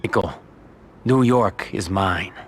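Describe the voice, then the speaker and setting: A blunt, tough mob don. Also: male voice, low-pitched, slow pace, slight background noise. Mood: vengeful. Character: ambitious young male mafia soldier turned don, hard. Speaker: Dominic, mob protagonist.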